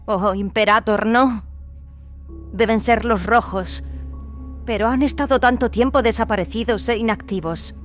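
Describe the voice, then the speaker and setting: Una mujer que interpreta en un audiodrama a una clon atemorizada y suplicante. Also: female voice, on the high side, unhurried, faint music behind, close to an everyday voice. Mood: dread. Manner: fearful, pleading. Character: Ro, frightened pleading female clone. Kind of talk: audio drama acting.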